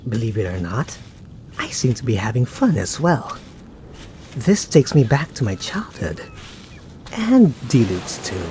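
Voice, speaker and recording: A man playing a suave, teasing, laid-back young knight in an ASMR roleplay, talking to the listener in an unhurried, musing way. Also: mid-range voice, a little mellow, a little hiss or hum.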